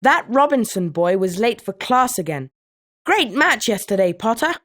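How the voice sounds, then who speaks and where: A woman playing a keen, sporty young student at a wizard school in animated video game dialogue. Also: on the high side, moderate pace. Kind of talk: scripted video game voice lines.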